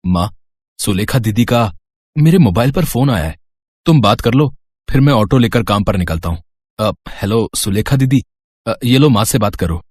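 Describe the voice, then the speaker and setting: A man's voice: on the low side, expressive, normal speed, darkish tone, breathy edge, no echo. A man playing a humble, considerate young auto-rickshaw driver in an acted storybook dialogue. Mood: dutiful, hurried.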